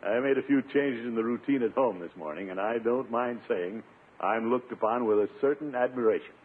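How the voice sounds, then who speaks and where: An actor playing a blustery, self-satisfied middle-aged bachelor official in 1950s radio comedy, pompous and emphatic. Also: male voice, mid-range voice, a little fast, husky, lightly acted. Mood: smug. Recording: muffled, scratchy 1950s radio broadcast.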